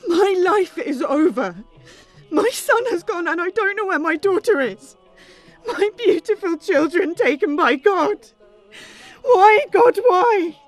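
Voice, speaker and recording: A woman's voice: very high voice, lively intonation, slow, faint music behind, exaggerated voice. A woman playing an anguished, grieving mother trapped in an attack, in an audio drama. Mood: grieving, despairing.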